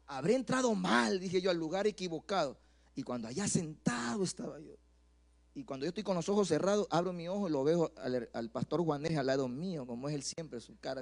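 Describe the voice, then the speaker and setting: A man's voice: a little high, vocal fry, darkish tone. A middle-aged man with an Ecuadorian accent preaching through personal anecdotes, acting out dialogue and repeating phrases into a handheld microphone. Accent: Ecuadorian Spanish. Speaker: pastor preaching. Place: church stage, indoors.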